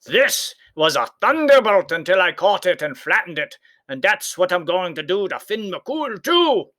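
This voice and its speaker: A man's voice: sits high, big pitch swings, a little slow, bright, forceful tone, pitched above the performer's own voice, exaggerated voice. A storybook giant acted out by a man, big, greedy and blustering. He bellows in a raised, piercing voice that swoops widely. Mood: menacing. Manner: bellowing, hollering. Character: blustering male giant bully, big, gluttonous.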